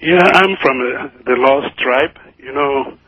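A man from Nigeria with a Nigerian accent, calling in to a radio show, speaking slowly and carefully with many fillers. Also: mid-range voice, noisy background. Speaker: caller. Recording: muffled phone line.

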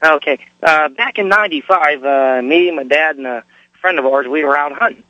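Bright, higher-pitched male caller with a cold. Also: normal speed, dry recording, rough recording. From Pennsylvania, United States. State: getting over a cold, slightly hoarse. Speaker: radio show caller. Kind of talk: casual anecdotal storytelling.

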